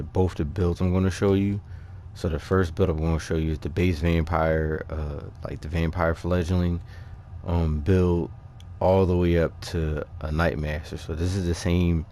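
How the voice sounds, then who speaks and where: A man with a low voice talks through a video game build in a casual, unscripted style. He speaks informally and haltingly, slipping into slang as he explains his choices to viewers. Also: a little mellow. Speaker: gaming video creator presenting character builds. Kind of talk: casual unscripted gameplay walkthrough commentary.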